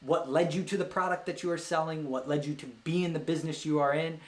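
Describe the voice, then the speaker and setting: Higher-voiced man talking casually, roomy echo. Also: fairly even intonation, fast. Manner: rambling, thinking aloud, checks in with "right?". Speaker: host of a business book read-along show, web agency owner. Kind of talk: casual explanatory talk with read-out book passages. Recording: roomy, echoey sound.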